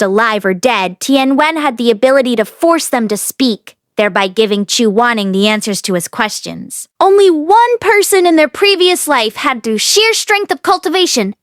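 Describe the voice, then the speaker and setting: Female audiobook narrator, higher voice, expressive storytelling, close dry recording. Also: moderate pace, few pauses, dry recording. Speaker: audiobook read-along narrator.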